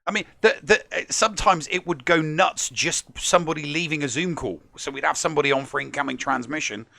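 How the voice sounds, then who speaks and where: A man's voice: fairly high pitch, fast, creaky, sharp tone, dry recording. A man hosting a podcast in casual live-stream chat, jokey, laughing often and repeating words when he gets going. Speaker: podcast host.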